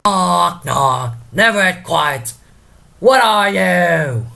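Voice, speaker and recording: A drunken castle porter, acted out by a man, rambling and mocking in Shakespearean stage style. The husky voice swings dramatically between exclaimed calls and muttering, like a comic gatekeeper of hell. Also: mid-range voice, expressive, slow pace, a bit bright, heavily acted. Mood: loud, mocking. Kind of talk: theatrical Shakespearean soliloquy.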